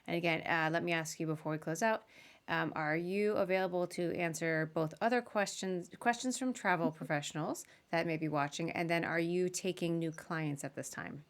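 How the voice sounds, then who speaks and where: A woman's voice: on the low side, moderate pace. A woman hosting a podcast, interviewing a guest in a casual, chatty and informal way. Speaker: podcast host.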